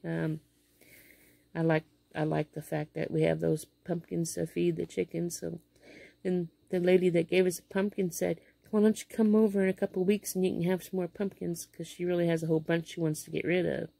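Midwestern lady in her 50s chatting to her phone camera, kinda low and gravelly, dry close sound, lots of uh and restarts. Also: flat delivery, fairly quick, mellow, dry recording. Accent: Midwestern American. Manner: rambling, full of fillers and restarts. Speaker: vlogger. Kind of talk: casual storytelling vlog. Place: small bedroom indoors. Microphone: phone camera held close below face.

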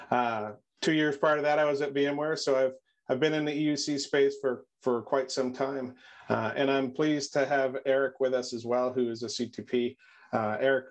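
A middle-aged man presenting in a webinar over a video call, often repeating words as he starts his phrases. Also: medium pitch. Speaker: product marketing presenter.

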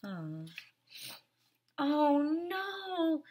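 A woman in her thirties, a teacher, reading a picture book aloud to young children in a theatrical, exaggerated, sing-song manner with drawn-out words. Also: high voice, very animated, darkish tone. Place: small decorated room, indoors. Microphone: headset.

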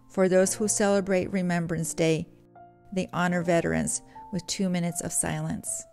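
A middle-aged woman from Poland, narrating a craft tutorial in a measured, earnest voice-over. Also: lowish voice, a little mellow.

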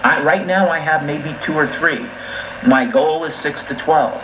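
A man's voice: on the high side, normal speed. A man delivering an urgent, instructive survivalist monologue through a radio speaker. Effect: heard through a radio speaker. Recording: dull, echoey, noisy shortwave radio.

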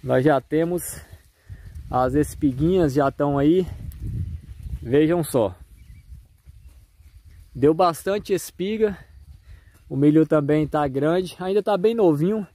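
A man's voice: a little high, slow, long pauses, warm tone. A man with a Brazilian accent giving a casual tour of his farm to viewers, recorded outdoors on a handheld phone. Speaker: farmer and video host.

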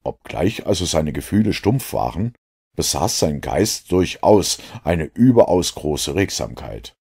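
Deep husky male audiobook narrator. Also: very animated, measured pace, warm tone. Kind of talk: scripted literary narration of a detective novel.

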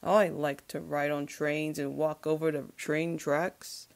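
A woman's voice: low-pitched, level tone, slow pace, gravelly, exaggerated voice. A woman voicing a jaunty, polite young cartoon man with a mannered way of talking. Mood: composed. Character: jaunty, polite young man who loves trains and tea.

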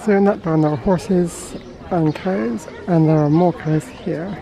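A man's voice: on the high side, a little slow, gravelly, dark tone, a faint crowd. A man. He chats casually to a camera held at arm's length while out and about in the open air, as a vlogger does. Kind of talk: casual vlog chat to camera. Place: outdoors at a zoo farm. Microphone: handheld camera at arm's length.

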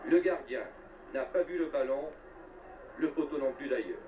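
A man's voice: medium pitch, normal speed, warm tone. A man narrating a scripted football report with dramatic, wry and literary phrasing. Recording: roomy, distant, noisy old TV soundtrack.

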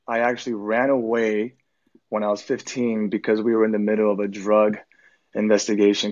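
A man's voice: on the low side, dull audio. A man in his twenties, a minister, talking in a casual, earnest, easygoing way. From Korea. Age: 20s. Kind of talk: casual conversation.

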